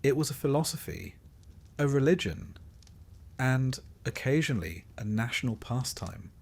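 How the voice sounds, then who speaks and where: A man narrating a scripted sleep story in a calm, measured manner with dry, wry touches and a husky voice. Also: medium pitch.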